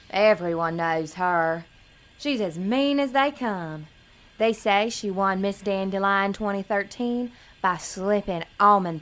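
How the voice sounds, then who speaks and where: A woman's voice: lowish voice, lightly acted. A woman playing a naive, earnest young pageant contestant in an audio drama, prim and melodramatic in manner. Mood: gossipy.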